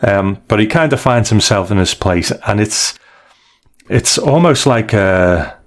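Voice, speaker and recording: A man with a fairly low voice talks as a podcast co-host. His delivery is casual and conversational, loosely explaining and recapping things for his listener. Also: animated, measured pace. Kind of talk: casual comic book discussion.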